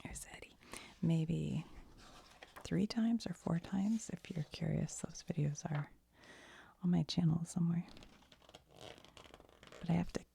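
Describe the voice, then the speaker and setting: A woman giving a soft-spoken, mostly whispered ASMR ramble, breathy and conversational, tentative and often trailing off. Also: on the low side, steady tone, unhurried, long pauses. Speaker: ASMR video host.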